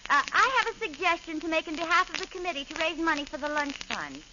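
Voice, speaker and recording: A polite, earnest committee chairwoman in a vintage radio comedy. She speaks quickly and high, in formal meeting manner. The old recording sounds muffled. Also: animated, background noise. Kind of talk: 1940s radio sitcom acting.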